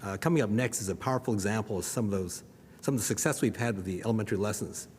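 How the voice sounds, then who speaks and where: A man delivers prepared remarks at an exhibit opening in a steady, measured way. His voice sits on the low side. A long reverb trails each phrase, and a faint noise runs beneath him. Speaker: education project presenter.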